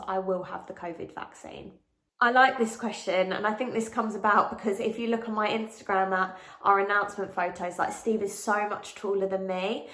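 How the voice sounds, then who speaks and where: A woman's voice: mid-range voice, echoey room. A pregnant English woman in her late twenties, bunged up with a cold and a bit out of breath, chatting frankly in a Q&A vlog with lots of ums. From England. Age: late 20s. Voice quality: bunged-up, nasal. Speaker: vlogger. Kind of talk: casual pregnancy Q&A.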